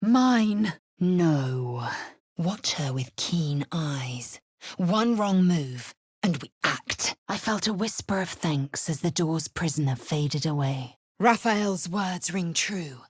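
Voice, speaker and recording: A woman playing a proud, fierce young female alien warrior in a video game, with a raspy voice and clipped, haughty, deliberate delivery. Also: animated, no echo, clean studio sound, exaggerated voice. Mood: fierce casting cry, then stern, grave, then hushed, wary. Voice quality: raspy, husky, breathy when low. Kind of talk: video game character acting.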